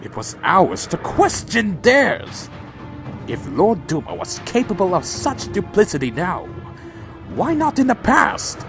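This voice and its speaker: A man's voice: high-pitched, wildly swooping pitch, measured pace, vocal fry, music in the background, full character voice. A man playing a proud, brooding vampire warrior in a fan audio drama, speaking and narrating in a solemn, formal, dramatic way. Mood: brooding, resolute. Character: proud male vampire warrior, loyal to his clan, brooding. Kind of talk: audio drama dialogue and first-person narration.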